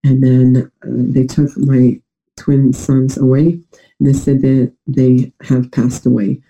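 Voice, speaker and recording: A man's voice: medium pitch, completely flat delivery, takes it slowly, gravelly, warm tone, poor audio. A young man with a New York-like accent and a congested, nasal voice, hesitantly telling personal stories as an interview guest. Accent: New York-like American. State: congested from a recent illness.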